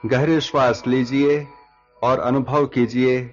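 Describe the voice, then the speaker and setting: A man leading a guided yoga nidra relaxation as instructor, giving measured instructions in short phrases with long pauses. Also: medium pitch, fairly even intonation, dull audio. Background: background music.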